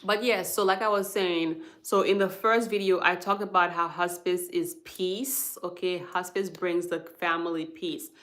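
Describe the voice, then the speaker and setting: A woman's voice: fairly low pitch, fairly even intonation, unhurried, few pauses, fairly bright tone. A woman hosting a live stream about hospice, explaining patiently and checking in with viewers after each point. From Haiti. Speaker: hospice worker and livestream host.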